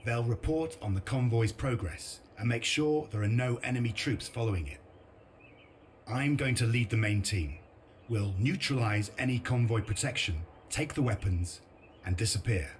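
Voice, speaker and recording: An actor playing a grim, sardonic resistance commander in a video game, with a blunt, dry, determined delivery. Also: male voice, medium pitch, animated, moderate pace, a little hiss or hum, lightly acted. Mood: grim resolve. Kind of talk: video game cutscene narration and briefing.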